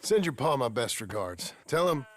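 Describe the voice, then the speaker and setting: An actor playing a weary, stubborn frontier husband in a video game, gravelly, terse and plain-spoken with a rural Western American drawl. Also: male voice, mid-range voice, sing-song, moderate pace, a little hiss or hum, close to an everyday voice. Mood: calm, plain. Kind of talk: video game cinematic acting.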